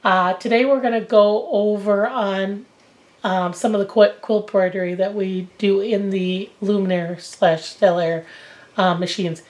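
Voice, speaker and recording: A woman's voice: medium pitch, steady tone, measured pace, darkish tone. A woman in her forties, getting over a cold, explains a machine-embroidery quilting technique in a chatty, rambling way, filling gaps with ums and restarts, recorded on a camera mic in a cluttered home sewing room. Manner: chatty, many fillers and restarts. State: recovering from a cold. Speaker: sewing instructor. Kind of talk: casual how-to demonstration.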